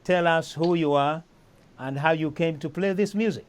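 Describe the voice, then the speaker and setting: A man's voice: a little high, moderate pace. A man hosting a casual music interview, talking with enthusiasm and often repeating himself. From East Africa. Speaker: host. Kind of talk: casual chat.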